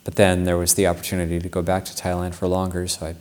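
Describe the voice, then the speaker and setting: Deep, flat-voiced male monk. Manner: reflective and unhurried, with frequent restarts. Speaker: Buddhist monk. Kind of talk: Dharma talk with personal anecdote.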